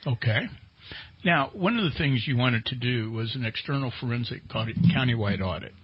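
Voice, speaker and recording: A man's voice: medium pitch, expressive, fairly quick, husky. A man hosting a casual radio interview, asking plain-spoken questions in a conversational way. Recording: dull, lo-fi radio interview audio.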